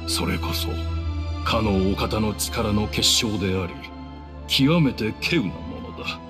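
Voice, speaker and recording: Deep, stern old temple leader. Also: male voice, lively intonation, measured pace. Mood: solemn. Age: older. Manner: archaic, solemn, imperious speech. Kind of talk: dramatic video game acting. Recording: dramatic music behind the voice.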